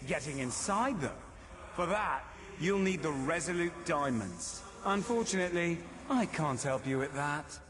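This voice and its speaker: An actor playing a scheming, vengeful demon prince in a fantasy video game, speaking in a theatrical, silky, menacing and deliberate manner. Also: male voice, a little high, expressive, a bit bright, a little hiss or hum. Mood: silky, persuasive. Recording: long cavernous reverb, game ambience behind.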